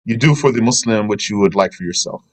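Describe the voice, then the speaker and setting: A man's voice: lowish voice, fast. A man giving a religious lesson, reading a translated passage aloud and explaining it, with Arabic terms mixed in. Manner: explanatory, reads a passage then comments, mixes in Arabic terms. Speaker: religious teacher.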